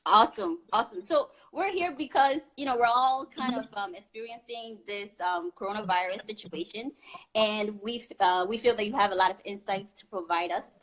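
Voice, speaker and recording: A woman's voice: medium pitch, normal speed, a bit bright, poor audio. A woman hosting an online interview, friendly and laughing often as she asks questions. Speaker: host, interviewer.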